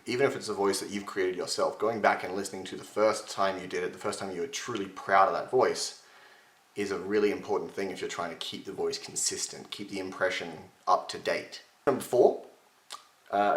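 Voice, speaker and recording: A young man talks to his webcam in a room at home, his fairly low voice a little gravelly and strained. He explains things in a loose, chatty way and pokes fun at himself. The room adds a noticeable echo. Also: a bit bright. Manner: chatty, self-mocking, gestures with his hands. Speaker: voice impression tutor. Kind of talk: casual webcam tutorial. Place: home room with shelves, indoors. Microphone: webcam at desk distance.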